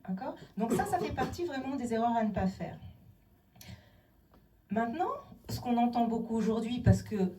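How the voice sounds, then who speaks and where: A woman's voice: fairly high pitch, animated, normal speed, long pauses, mellow. Emphatic woman speaking from a distance. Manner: emphatic, rhetorical questions, acts out quoted voices. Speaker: speaker on inclusive city design. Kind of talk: public talk to an audience. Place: town hall meeting room.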